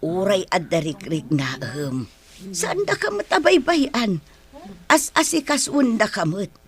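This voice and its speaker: An actress playing a weary, long-suffering older mother in a radio drama, husky and exasperated. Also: medium pitch, big pitch swings, measured pace.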